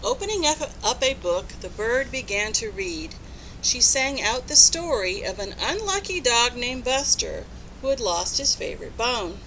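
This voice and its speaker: Female storybook reader: high, very lively pitch, unhurried, instructive, faint rumble behind. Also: rough recording. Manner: expressive, instructive, addressing young children. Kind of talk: children's read-aloud, asking listeners questions.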